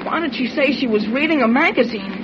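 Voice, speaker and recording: An eager, wide-eyed American lad in an old radio adventure serial. He is earnest and curious, with a high youthful voice on a noisy, muffled recording. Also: expressive, quick pace. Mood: puzzled, eager. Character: eager, wide-eyed teenage boy cub reporter. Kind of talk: 1940s radio serial acting.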